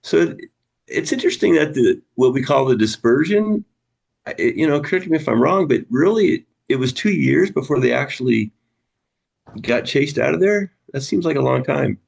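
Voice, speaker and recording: A man's voice: medium pitch, lively intonation, moderate pace, creaky, husky. A man leading a book study group on a video call, casual and friendly, thinking aloud with restarts and chuckles.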